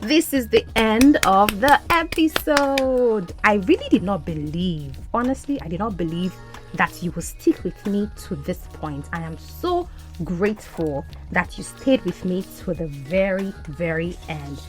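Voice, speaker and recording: A woman whose first language is Yoruba, hosting a podcast as an English language coach and speaking in an animated, encouraging way. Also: mid-range voice, a little slow, soft background music. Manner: animated, encouraging teacher's delivery.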